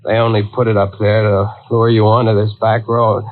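An actor playing an anxious, protective young brother in a 1940s radio thriller, urgent and exclamatory, with slangy speech. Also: male voice, on the low side, level tone, moderate pace, narrow, tinny audio, lightly acted. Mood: grim. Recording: noisy band-limited vintage radio recording.

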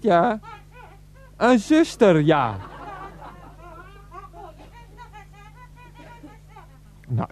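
A man acting an exasperated, defensive grown son on the phone to his mother in a naturalistic radio comedy drama, halting and repeating himself to placate her. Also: high voice, darkish tone. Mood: incredulous.

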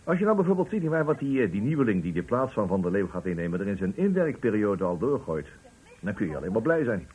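Nervous yes-man foreman, lively swooping intonation, raspy, deferential, quick-talking, radio drama. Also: on the low side, rough recording. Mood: fawning. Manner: deferential, hesitant, ingratiating. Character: fawning, nervous male factory foreman, eager to agree. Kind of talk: Dutch radio play acting.

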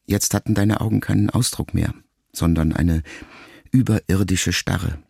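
A grieving grown son in a literary radio play. The man's voice sits very deep, with a gravelly fry in it. He speaks reflectively and intimately, setting out each thought with deliberate pauses. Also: warm tone, dry recording, clean studio sound, heavily acted. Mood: sorrowful, tender. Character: grieving grown son, a writer, addressing his dying mother.

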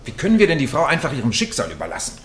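An actor in a German radio play playing a loyal, wry companion to amateur detectives, pointed and reproachful. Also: male voice, mid-range voice, huge pitch swings, racing, a bit bright.

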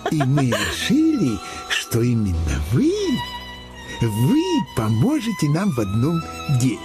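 A man playing a decrepit, pompous, lecherous old finance minister in a satirical fairy-tale play, with halting, theatrical flattery. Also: medium pitch. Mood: fawning. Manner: theatrical, halting, drawn-out flattery with sudden swoops. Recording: loud music behind the voice.

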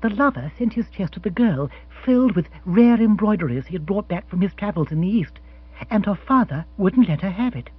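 A brisk, proper aristocratic wife, played by a male audiobook reader. The husky voice is pushed high and talks crisply and matter-of-factly. The sound is dry and a bit dull. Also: animated, dry recording. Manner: crisp, matter-of-fact storytelling. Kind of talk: audiobook character voice. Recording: dull, dry, slightly lo-fi recording.

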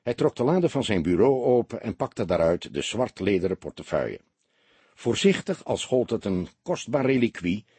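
Male audiobook narrator for crime fiction: mid-pitched, lively intonation, slightly husky, dramatic scripted delivery. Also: no room sound. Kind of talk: expressive scripted narration of a detective story.

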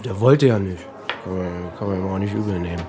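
An actor playing a drunk, sentimental family man in a German radio play, talking in halting, repetitive phrases. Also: sits low, music in the background, lightly acted. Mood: chatty, tipsy.

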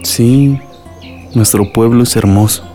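An actor playing a devoted, reassuring young village suitor in a radio drama, tender and attentive. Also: male voice, medium pitch, slow pace, mellow, soft background music, close to an everyday voice. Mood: tender, concerned.

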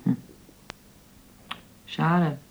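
An actor playing a sly old village man who rambles and bargains shrewdly over flour in a radio play. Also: drawn-out words. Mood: haggling. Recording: dull lo-fi radio play recording.

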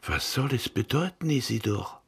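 Very husky, agitated synagogue servant. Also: male voice, mid-range voice, animated, moderate pace, fairly bright tone, heavily acted. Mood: anxious. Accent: Yiddish. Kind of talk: radio play dialogue.

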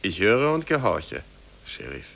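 A man's voice: fairly low pitch, very animated, unhurried, vocal fry, a bit bright, full character voice. A man playing a lazy, naive young man with theatrical expression in a 1950s radio play. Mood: polite, confident.

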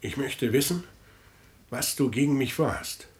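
A man's voice: mid-range voice, a little slow, close to an everyday voice. A man playing a stern, commanding older father in a German radio play, formal and imperious in manner. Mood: cold, stern.

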